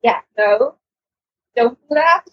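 A woman co-hosting a podcast in casual discussion, speaking in a halting, agreeable manner. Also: a little high, animated, slightly rough, no echo, muffled recording. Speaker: podcast co-host.

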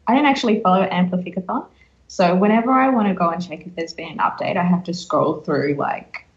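A woman's voice: on the low side, a little fast, highs cut off. A woman co-hosting a podcast in casual chat, recorded with a roomy echo. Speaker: podcast co-host. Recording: roomy, echoing sound.

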